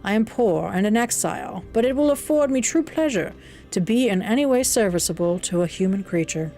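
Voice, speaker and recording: A woman's voice: medium pitch, expressive, fairly quick, warm tone, faint music behind, lightly acted. A woman voicing a kindly, courteous blind old man in an audiobook, with a Southern US accent and an earnest, reassuring, formal manner. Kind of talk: audiobook character voice.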